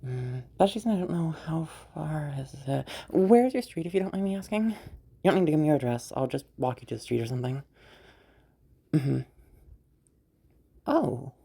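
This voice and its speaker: A young woman acting in an audio roleplay as a caring, teasing party host, talking in a rambling, hesitant, softly reassuring way. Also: sits low, expressive, moderate pace, creaky, pauses often, breathy edge, full character voice. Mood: caring, earnest. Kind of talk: audio roleplay, naturalistic one-sided dialogue.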